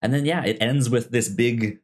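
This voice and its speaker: A man's voice: medium pitch, moderate pace. A man hosting a casual podcast, chatting with frequent stammers and repeated words. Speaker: podcast host. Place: indoor room, recorded in person.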